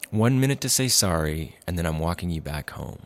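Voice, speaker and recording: A man playing a hesitant, lenient prison guard with a low voice in a comedy audio drama.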